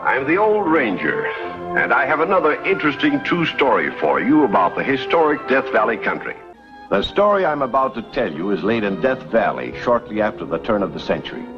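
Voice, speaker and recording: An American actor playing a folksy Old West ranger who introduces a true tale as a showmanlike host. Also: male voice, mid-range voice, big pitch swings, a little fast. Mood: folksy, intriguing. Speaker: storyteller host. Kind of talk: scripted narration for a vintage western TV anthology. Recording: dated TV soundtrack, muffled, music behind.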